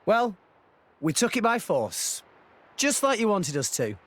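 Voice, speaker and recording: A man's voice: high voice, wildly swooping pitch, a little slow, fairly bright tone, faint music behind, heavily acted. A man voicing an earnest young male hero who speaks in a coaxing way. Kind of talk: video game voice acting.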